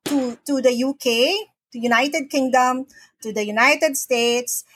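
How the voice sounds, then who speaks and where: A woman's voice: high-pitched, a little slow, a bit bright, husky, no room sound. A middle-aged woman from the Philippines, a podcast guest talking earnestly and often repeating words as she starts a sentence. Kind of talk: casual interview talk. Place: home in the Philippines.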